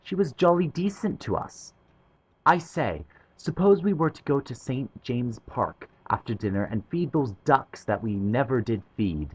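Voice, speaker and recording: A cheerful young schoolboy, as a man puts him on in a storybook reading. The voice sits well above his own, lively in pitch, brisk and hearty. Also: breathy edge, poor audio. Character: cheerful, sensible young boy. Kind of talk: audiobook character voice.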